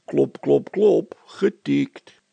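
Man playing a blunt, gravelly village policeman. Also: on the high side, extremely slow, mellow, no echo, highs cut off, pitched above the performer's own voice, heavily acted. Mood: blunt, matter-of-fact.

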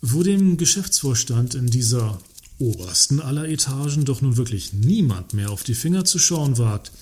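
An actor playing an ingratiating works council member in a satirical radio play, mock-deferential in manner. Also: male voice, mid-range voice, very animated, moderate pace, a little mellow, close to an everyday voice. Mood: slyly earnest.

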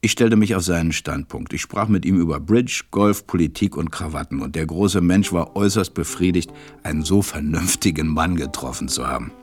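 An actor playing a grown pilot who wistfully recalls his life as a storyteller in a radio play, measured and reflective. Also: low-pitched, faint music behind, natural voice. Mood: wry, reflective.

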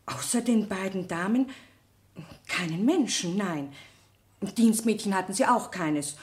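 An actress playing a chatty, respectful French laundress who gives emphatic testimony in a German radio play. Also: female voice, medium pitch, animated, a little slow, a little mellow, natural voice. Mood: eager, emphatic. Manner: emphatic, eager, polite.

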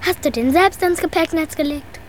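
Radio play: woman playing prim, bossy know-it-all girl, very high voice, faint noise behind. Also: normal speed, lightly acted. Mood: meddling. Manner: condescending, prim. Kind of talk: German radio drama acting.

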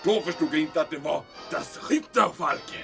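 An actor playing a nervous, obliging German army major in a period audio drama. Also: male voice, fairly high pitch, vocal fry, bright, forceful tone, faint music behind. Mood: strained, defensive. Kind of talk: period radio drama acting.

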